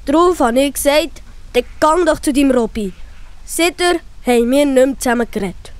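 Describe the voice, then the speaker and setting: A woman's voice: high voice, animated, fairly quick, no echo. A woman voicing a young schoolboy, honest and eager, with a Swiss German accent, in a children's audio play. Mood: sullen, hurt.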